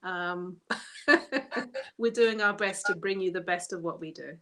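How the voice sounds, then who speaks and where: A woman's voice: on the low side, takes it slowly, fairly bright tone. A middle-aged woman from England whose accent is a mix of English and American, co-hosting a casual live stream and readily agreeing along. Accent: mixed English and American. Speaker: co-host and hat maker.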